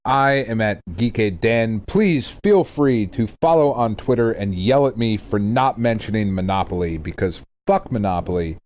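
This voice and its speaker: A man's voice: fairly low pitch, lively intonation, moderate pace, few pauses, darkish tone. A middle-aged man chatting casually as a podcast co-host, irreverent and emphatic, swearing freely as he rants. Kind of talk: casual chat.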